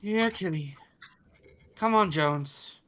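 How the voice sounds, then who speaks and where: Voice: medium pitch, takes it slowly, fairly bright tone. A performer playing a weary spaceship crew member calling out, on a noisy, clicky recording. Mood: coaxing.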